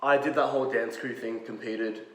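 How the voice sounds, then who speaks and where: A man's voice: mid-range voice, steady tone, normal speed. A man in his twenties with an Australian accent, a dancer and podcast guest telling stories in easygoing slang, full of "like" and "bro". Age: 20s. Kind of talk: casual storytelling. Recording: roomy sound from a distant mic.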